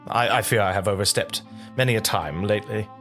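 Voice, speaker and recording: An actor playing an earnest, devoted male knight who speaks formally and haltingly in an intimate audio drama. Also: on the low side, natural voice. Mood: apologetic, torn. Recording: music under the voice.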